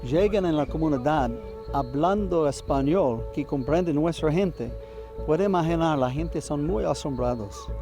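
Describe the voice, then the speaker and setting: An American man, a native English speaker, talks in halting non-native phrases with a strong American accent. His voice is fairly high and creaky, and music plays clearly behind his missionary testimony. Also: warm tone. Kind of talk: interview testimony.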